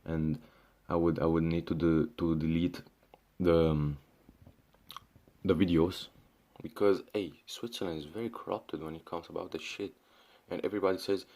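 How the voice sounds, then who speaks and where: A young man from Syria rants to his camera with a very low voice. He talks casually and loosely, tripping over restarts and fillers, dropping swear words and "bro" as he goes. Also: steady tone, normal speed, warm tone. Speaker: vlogger. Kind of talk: casual ranting monologue.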